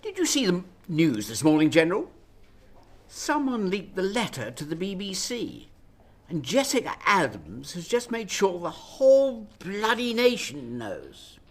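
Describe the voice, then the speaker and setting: A woman playing an exasperated, sharp-tongued Prime Minister, scolding and sarcastic, in a radio drama. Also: fairly low pitch, wildly swooping pitch, a little slow, exaggerated voice.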